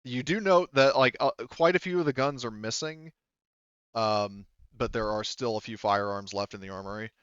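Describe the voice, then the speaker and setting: A man's voice: mid-range voice, fairly even intonation, moderate pace, fairly bright tone, clean tone, no echo, muffled recording. A man casually game mastering a tabletop RPG in a hesitant, conversational, wordy way.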